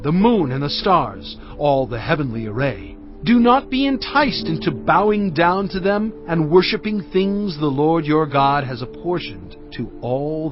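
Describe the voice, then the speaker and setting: A fervent prophet in a scripture audio drama. His higher-pitched voice preaches gravely and with measured emphasis. The sound is slightly dull, with music underneath. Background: cinematic music.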